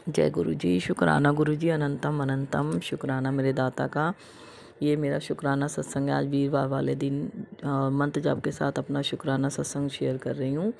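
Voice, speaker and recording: Hoarse, gravelly-voiced devotional woman. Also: low voice, level tone, normal speed, darkish tone, slight background noise. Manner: emotional, repetitive, chant-like. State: hoarse throat. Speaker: devotee sharing a testimony. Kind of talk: devotional thanksgiving testimony.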